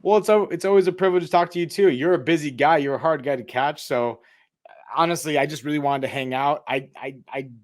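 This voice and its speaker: A man hosting a podcast, friendly and energetic as he plugs the show and welcomes his guest. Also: fairly high pitch, quick pace. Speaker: podcast host.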